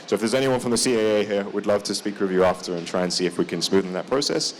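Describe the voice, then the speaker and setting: A male startup founder speaks at a conference showcase. He talks quickly in a somewhat low voice, and his phrasing is casual and full of "like" and "kind of" fillers. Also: rough recording. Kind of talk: conference talk. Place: conference stage.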